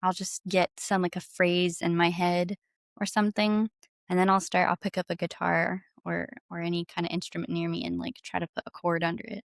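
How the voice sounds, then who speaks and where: A woman's voice: fairly low pitch, flat delivery, fairly quick, gravelly, no echo, studio quality. A woman who is a singer-songwriter guest, chatting casually and enthusiastically in an interview, often saying "like". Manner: enthusiastic, informal, frequent "like".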